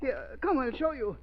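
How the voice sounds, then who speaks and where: A very high, thin and quavering elderly male villain. He is a frail, vengeful professor who stammers and repeats himself in agitation. The sound is a dull 1930s radio drama recording. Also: a little hiss or hum, exaggerated voice. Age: older.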